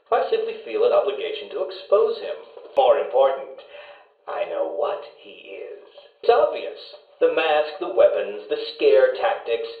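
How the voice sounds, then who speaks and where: A man playing a smug, obsessive riddle-posing villain in a video game, with a condescending, theatrical, sneering delivery. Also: mid-range voice. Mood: smug, accusing. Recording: tinny phone-like sound, roomy echo.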